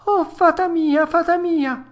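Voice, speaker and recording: A woman's voice: high-pitched, lively intonation, a little slow, voice pushed up. A woman voicing a stubborn, whiny little wooden puppet boy in a storybook, childish and dramatic in manner. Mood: frightened, pleading. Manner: wailing, exclamatory, childish protesting. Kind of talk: storybook character voice.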